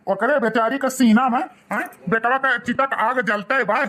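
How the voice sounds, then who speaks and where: A man voicing an indignant old village father-in-law with an Awadhi accent, in an artificially raised cartoon voice, forceful and reproachful. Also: very high voice, steady tone, normal speed.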